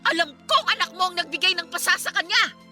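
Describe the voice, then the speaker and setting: An indignant, protective middle-aged Filipino mother in a radio drama. Her voice is very high and very sharp, with forceful, accusing delivery over soft music. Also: expressive, fast talker, heavily acted. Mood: accusing, upset.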